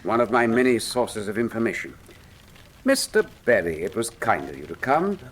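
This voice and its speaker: An actor playing a brilliant, self-assured Victorian detective in a radio mystery, with a wry, precise, formal manner. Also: male voice, fairly low pitch, big pitch swings, moderate pace, slight background noise. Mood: brisk, courteous. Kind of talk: full-cast radio mystery drama acting.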